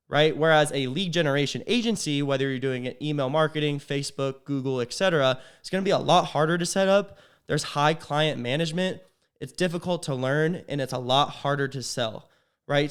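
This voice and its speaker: A young man, a little sick and slightly raspy, explaining how to sell digital services to business owners in a loose, conversational way, often ending sentences with "right?". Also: fairly high pitch. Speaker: agency owner teaching business sales. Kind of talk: casual talking-head business tutorial.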